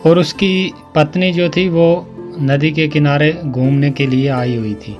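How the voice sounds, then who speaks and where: A man tells a scripted moral story. His voice is pitched a bit higher than most men's. Music runs behind his narration. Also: steady tone, measured pace, lo-fi sound.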